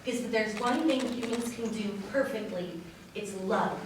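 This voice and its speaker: A woman's voice: mid-range voice, normal speed. A woman giving a dramatic reading of love poetry as a wedding reader. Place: large echoey room at a wedding. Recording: echoey hall, distant mic, faint noise.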